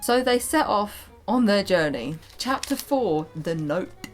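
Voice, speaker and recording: A woman's voice: mid-range voice, lively intonation, takes it slowly, faint music behind. A young British woman reading her own childhood story aloud with casual, playful, self-mocking commentary. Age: young adult. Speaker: vlogger.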